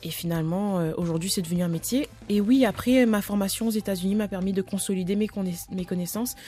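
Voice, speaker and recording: A woman's voice: mid-range voice, fast talker, warm tone. Young woman from Réunion, chatty guest. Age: young adult. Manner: chatty storyteller, laughs easily. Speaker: guest, personal coach. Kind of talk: casual radio interview.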